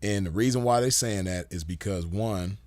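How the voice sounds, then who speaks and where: A man's voice: lowish voice, moderate pace, darkish tone, no echo. A man giving a casual commentary monologue as host, laid-back and full of "you know" fillers. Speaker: podcast host.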